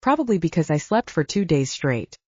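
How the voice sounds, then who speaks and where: A woman voicing a dazed, anxious young woman waking injured in an audiobook, hoarse and croaky. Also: deep voice, sing-song, fairly quick, dark tone, no echo, lo-fi sound, exaggerated voice. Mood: dazed, concerned.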